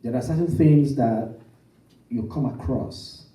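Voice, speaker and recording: A man's voice: mid-range voice, measured pace, mellow. A man working as master of ceremonies, making announcements to an audience with swooping emphasis. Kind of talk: event hosting and announcements. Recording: echoey hall, mic from a distance.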